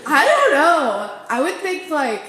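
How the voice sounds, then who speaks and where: A woman's voice: high voice, wildly swooping pitch, slow pace, fairly bright tone. A woman joining a casual podcast chat, giggly, chiming in with short remarks. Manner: giggly, chimes in briefly. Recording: echoey room with long reverb.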